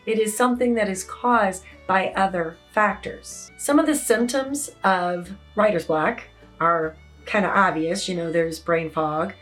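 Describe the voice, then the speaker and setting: A woman with a naturally raspy voice, a bit hoarse from yelling, giving casual, chatty writing advice as an author in her home office. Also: mid-range voice, unhurried, soft background music. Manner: chatty, conversational, lots of "you know". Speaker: indie romance author. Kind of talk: casual talking-head advice vlog. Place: home office with open windows.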